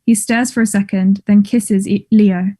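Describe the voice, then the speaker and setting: A woman reading stage directions as scripted narration in a measured, neutral manner. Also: mid-range voice, steady tone, dark tone.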